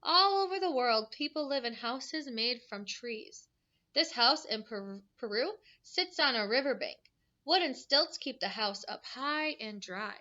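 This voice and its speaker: A woman's voice: fairly high pitch, animated, measured pace, bright, forceful tone, dull audio. A woman teacher reading a picture book aloud to young children, adding simple explanations and questions in an instructive way.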